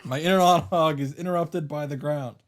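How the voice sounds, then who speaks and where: A man reading a first-person story aloud in a theatrical, halting way, with dramatic emphasis. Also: fairly high pitch, fairly even intonation. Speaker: streamer reading a visual novel aloud.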